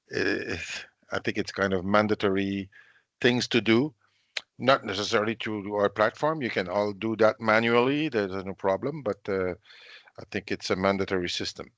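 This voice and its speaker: A man's voice: on the low side, a bit bright, no room sound. A man hosting a webinar, speaking hesitantly and plainly. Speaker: webinar moderator. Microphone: video call.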